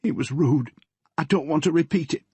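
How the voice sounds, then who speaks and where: A man putting on a frightened teenage girl whose voice rides well above his own, shaky and pleading. The tone stays husky and close, with a dry, deadened sound and no room around it. It suits an audiobook scene of a girl confiding in her father. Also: on the high side, lively intonation, moderate pace, darkish tone, no room sound, voice pushed up, full character voice. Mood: anxious. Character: frightened tearful teenage girl, a policeman's daughter.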